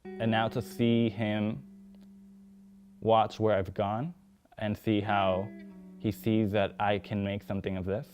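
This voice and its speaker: A man's voice: lowish voice, level tone, slow, long pauses. A young man, a former theatre intern, reflecting sincerely in a documentary interview. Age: young adult. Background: soft guitar music.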